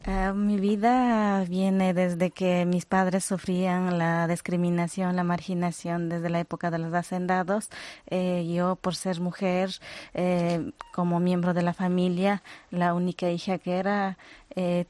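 A young woman from Ecuador, speaking as a radio guest in halting phrases with many hesitations. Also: lowish voice, little pitch movement, a little mellow, dry recording. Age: young adult. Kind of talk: radio interview. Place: radio studio.

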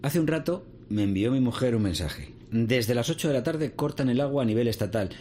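An actor with a Castilian Spanish accent playing a world-weary middle-aged seaweed farm worker in conversational radio drama. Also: male voice, medium pitch, expressive, brisk, darkish tone, close to an everyday voice. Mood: friendly, practical. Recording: faint background noise and ambience.

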